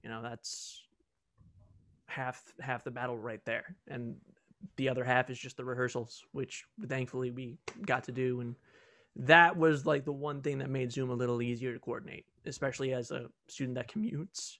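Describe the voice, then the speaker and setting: A man's voice: medium pitch, fairly even intonation, moderate pace, a bit bright, no echo, studio quality. A young man answering casually on a video call Q&A as a student audio team member. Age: young adult.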